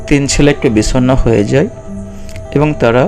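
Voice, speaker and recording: A man's voice: medium pitch, normal speed, music in the background. A man narrating a children's cartoon story as a storyteller.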